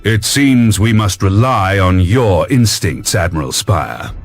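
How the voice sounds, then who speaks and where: An actor playing a grave, authoritative imperial high commander in a video game, husky and weighty, with formal delivery. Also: male voice, lively intonation, slow pace, exaggerated voice. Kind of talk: video game cutscene acting. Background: music.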